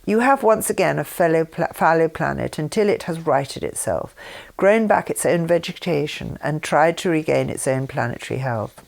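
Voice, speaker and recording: A woman reading a written text aloud in a measured, deliberate way, phrase by phrase. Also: deep voice, expressive, creaky. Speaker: reader of her mother's writings.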